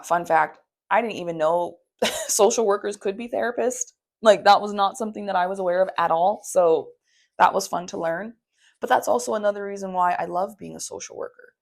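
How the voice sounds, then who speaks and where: Earnest woman with a gravelly edge. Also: fairly low pitch. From Maui, Hawaii. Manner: earnest and affirming, with frequent "you know". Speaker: podcast host and therapist. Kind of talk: heartfelt solo podcast monologue.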